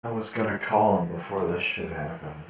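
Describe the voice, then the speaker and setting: A man playing a weary cyborg patient in an online game roleplay, halting and groping for words. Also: low voice, natural voice. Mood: troubled. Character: weary male cyborg prisoner recovering from brain surgery. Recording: reverberant, distant from the mic.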